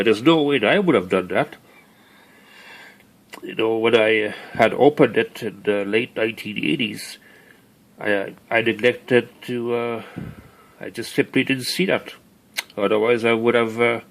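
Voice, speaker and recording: A middle-aged man narrating an unscripted hands-on demonstration as a hobbyist, halting and rambling as he thinks aloud. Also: medium pitch. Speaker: hobbyist showing his broken tape recorder. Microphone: handheld camera or phone mic.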